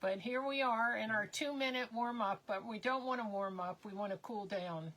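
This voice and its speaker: Older woman, hoarse, chatty. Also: fairly high pitch, normal speed, fairly bright tone. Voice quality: slightly hoarse. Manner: chatty, rambling with many ums. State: losing her voice. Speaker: co-host of a live crafting stream. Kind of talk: casual live-stream chat.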